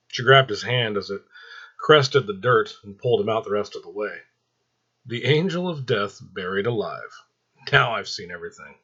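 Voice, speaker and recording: Husky, low-voiced male storyteller. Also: sharp tone, highs cut off. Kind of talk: dramatic scripted story narration.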